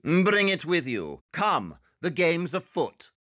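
A man's voice: a little high, very animated, takes it slowly, fairly bright tone, no echo, studio quality, heavily acted. An actor playing a brilliant, self-assured male detective in an audio drama, explaining things in a clipped, superior, matter-of-fact way. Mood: brisk, confident.

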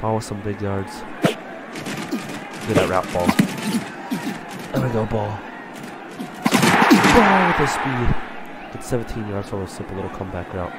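A man with a stuffy voice from a cold, commentating his own video game play and getting excited on big plays. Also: fairly low pitch, measured pace, long pauses, very noisy. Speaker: gaming channel host.

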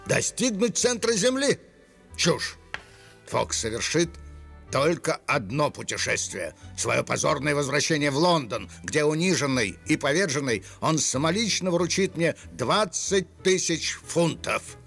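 Pompous gloating cartoon wolf villain, animated. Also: male voice, a little high, unhurried, vocal fry, husky, soft background music, full character voice. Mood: scornful. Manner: pompous, sneering, theatrical. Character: haughty scheming adult male gentleman wolf, gloating villain. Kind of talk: cartoon villain dub acting.

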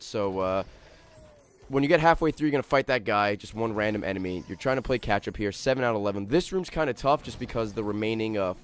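A young man hosts a casual video game guide, his voice raspy and worn from overuse. He talks quickly and loosely, full of fillers, explaining each step over the gameplay at a fast clip. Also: lowish voice. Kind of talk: casual gameplay walkthrough commentary.

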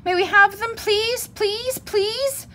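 A woman voicing young storybook bear cubs, whining, shouting and pleading greedily. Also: extremely high pitch, slow, pitched above the performer's own voice, full character voice. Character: whiny young bear cubs, brother and sister, begging greedily.

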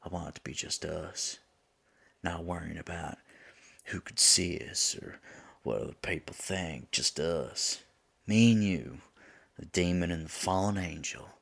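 Man voicing a deep, gravelly lovesick demon. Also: measured pace, a bit bright, full character voice. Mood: tender, pleading. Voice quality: gravelly, husky. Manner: tender, pleading, folksy turns of phrase. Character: lovesick male demon pleading with his angel lover. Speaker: voice actor in an audio roleplay. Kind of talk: intimate ASMR roleplay acting.

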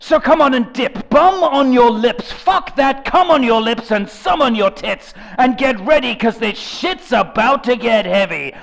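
A young man reading song lyrics as a theatrical dramatic performance, jumping between shouted lines and low mutters with a gravelly edge. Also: animated, measured pace, hardly any pauses. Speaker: comedic dramatic reader of rap lyrics. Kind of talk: over-the-top dramatic reading.

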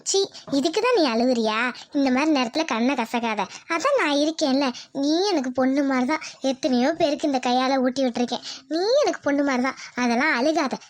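A woman voicing a kindly, motherly village elder sister in a cartoon family drama, coaxing and gently chiding. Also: high-pitched, a little fast, lightly acted. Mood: tender, coaxing.

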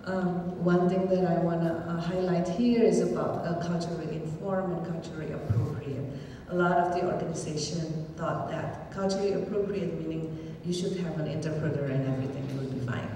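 Middle-aged woman, native indonesian speaker, talking at a podium, lowish voice, lots of um and uh, echoey hall heard from across the room. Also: fairly even intonation, a little fast, dark tone, slight background noise. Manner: earnest, thoughtful, with many fillers and repeats. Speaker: shelter advocate giving a talk. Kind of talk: public talk. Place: large hall with an audience. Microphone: podium mic, recorded by a far camera. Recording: roomy hall reverb from far off.

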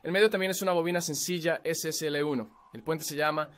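A man's voice: on the high side, steady tone, quick pace, fairly bright tone. A man from Canada giving a casual, joking guitar review. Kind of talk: product review talk.

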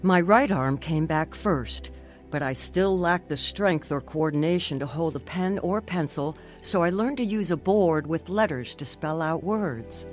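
A woman's voice: sits low, a little slow. Determined lady narrating her life story for a radio drama, bit of music under it. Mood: reflective. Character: determined woman recounting her recovery from a stroke. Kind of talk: scripted first-person radio drama narration.

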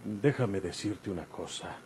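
A man's voice: sits low, unhurried, darkish tone. An actor playing an older, rustic Mexican outlaw father, folksy and evasive, in an old radio drama. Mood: defensive, insistent. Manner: folksy, rural colloquial speech. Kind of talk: golden-age Mexican radio drama acting. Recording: noisy vintage radio recording.

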